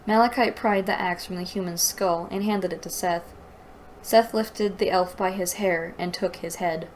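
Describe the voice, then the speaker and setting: A woman's voice: lowish voice, fairly even intonation, moderate pace, slight background noise, rough recording. A woman reading aloud and giving mocking, incredulous commentary as the host of a book review. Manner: exasperated, incredulous.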